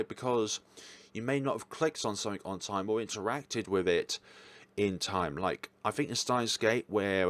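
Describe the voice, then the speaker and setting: Low-pitched man, rambling casual reviewer. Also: no echo. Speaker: host reviewing visual novels. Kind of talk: casual chatty ranking commentary.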